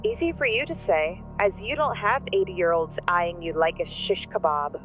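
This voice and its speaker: A woman's voice: fairly low pitch, moderate pace. A woman acting as a terrified nurse in a horror radio play. She sounds urgent and alarmed through a dull phone line, with music behind her. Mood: exasperated. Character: terrified, exasperated female nurse calling for help. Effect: telephone filter. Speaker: caller, nurse.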